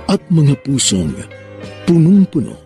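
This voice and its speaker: High-pitched, theatrical male radio drama host. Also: wildly swooping pitch, slow, dark tone, faint music behind. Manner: theatrical, poetic. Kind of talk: sentimental scripted radio narration.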